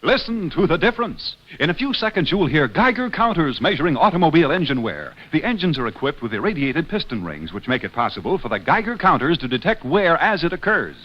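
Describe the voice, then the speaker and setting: A man delivering a formal scripted commercial pitch in the style of 1950s radio. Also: big pitch swings, brisk, hardly any pauses. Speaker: commercial announcer. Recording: dull old radio, faint noise.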